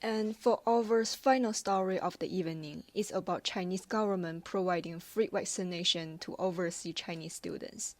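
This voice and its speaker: A woman presenting a news report, reading scripted copy. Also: lowish voice. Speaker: news anchor. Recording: music behind.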